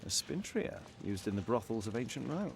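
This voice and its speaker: An actor playing a shrewd, self-assured Victorian detective in a video game, British accent, precise, probing and dryly superior. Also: male voice, medium pitch, sing-song, a little fast, creaky, noisy background. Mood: musing. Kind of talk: dramatic video game acting.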